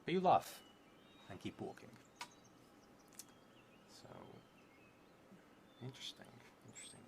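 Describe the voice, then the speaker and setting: A man in his thirties narrating a solo tabletop game on a stream, unhurried, thinking aloud and murmuring asides. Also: mid-range voice, creaky. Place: indoor room at a theatre, at a table. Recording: noisy room with steady background noise.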